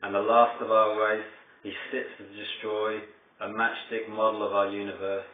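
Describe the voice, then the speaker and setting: A man reciting his poetry in a solemn, deliberate way, pausing between short phrases. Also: lowish voice, little pitch movement. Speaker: poet reading own work. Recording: echoey, distant mic, thin phone-like sound.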